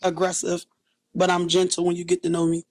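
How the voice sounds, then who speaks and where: A woman in her thirties from West Philadelphia talks in a raspy voice with a blunt, hardened edge. She speaks candidly as an interview guest, seated on a sofa in a small indoor studio. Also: lowish voice, monotone, a little fast, darkish tone. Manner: blunt, tough, street-worn storytelling.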